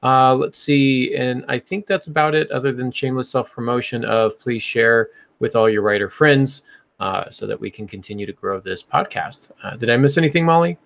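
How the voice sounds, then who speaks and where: A man's voice: medium pitch, normal speed, creaky, fairly bright tone. A man hosting a podcast, talking casually in a chatty, explanatory way. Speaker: podcast host.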